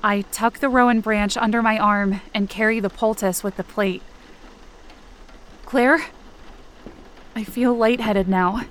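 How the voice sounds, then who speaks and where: A woman's voice: medium pitch, fairly even intonation, unhurried, pauses often, natural voice. A woman playing an anxious, injured young camp counselor, narrating a first-person audio drama in a tense, dramatic way. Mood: worried calling. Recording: rain and ambience behind the voice. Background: rain and outdoor ambience effects.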